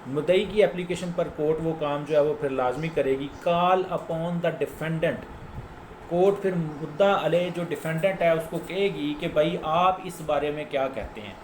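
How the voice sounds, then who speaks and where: A man's voice: on the high side, unhurried. Echoey Pakistani man explaining law. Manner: explanatory, repeats phrases, mixes in English legal terms. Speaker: lawyer giving legal explainers on own channel. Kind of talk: informal law lecture. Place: indoors, office. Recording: echoey room with clear background noise.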